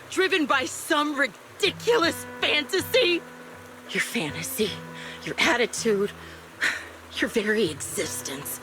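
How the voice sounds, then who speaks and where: An actress playing a bitter, vengeful, dying veteran sniper in a video game, speaking in a grave, halting way. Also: female voice, fairly high pitch, pushed and bright, exaggerated voice. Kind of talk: dramatic video game voice acting. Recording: music behind the voice.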